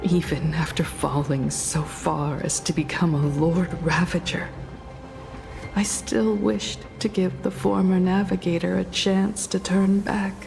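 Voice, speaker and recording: A woman voicing a resolute, stern heroine in a video game, speaking in a firm, measured, confrontational way. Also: lowish voice, creaky. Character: resolute, stern heroine, a ship's navigator facing down an enemy. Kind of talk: dramatic video game voice acting. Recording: loud game music behind. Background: game soundtrack music.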